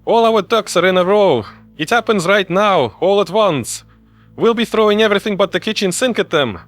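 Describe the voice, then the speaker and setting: A man's voice: high-pitched, lively intonation, normal speed, fairly bright tone, faint music behind, voice pushed up. A man voicing a chipper, joking human male officer in an audio story, casual and chatty. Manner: casual, cheerful, idiomatic.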